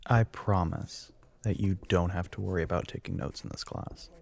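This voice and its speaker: A man playing a smug, teasing, telepathic young male classmate in an ASMR roleplay, with a husky, breathy, often whispered voice. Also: low voice, steady tone, darkish tone. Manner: smug, teasing, drawn-out knowing remarks.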